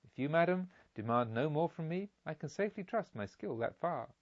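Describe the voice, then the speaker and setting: A man voicing a learned, sensible storybook physician who gives instructions in a measured, plain way. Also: on the high side, animated, no room sound, muffled recording, close to an everyday voice. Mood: calm, instructive.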